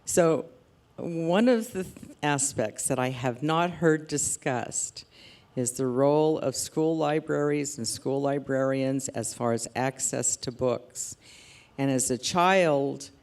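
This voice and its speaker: Audience member asking a question at a forum, slow and deliberate. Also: medium pitch, darkish tone. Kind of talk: prepared question at a public forum.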